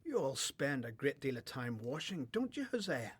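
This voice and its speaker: A man's voice: mid-range voice, very animated, normal speed, slightly rough. A young man playing an earnest, polite, questioning young prophet in a religious radio drama. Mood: curious, probing. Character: young John the Baptist, earnest, searching, polite newcomer.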